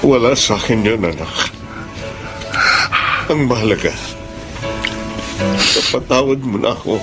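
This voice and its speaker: Guy playing a gentle middle-aged pinoy dad in a radio drama, sorry ex-con, pleading n halting, music underneath. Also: medium pitch, animated, lightly acted. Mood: relieved, earnest. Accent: Filipino.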